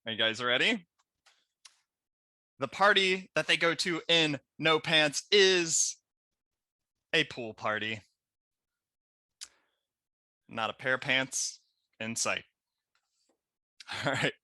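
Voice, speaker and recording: Bright-voiced man, unhurried. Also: a little high, animated, no room sound, studio quality. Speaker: children's book author and illustrator. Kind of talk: trivia question for children to camera. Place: small room at home. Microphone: headset.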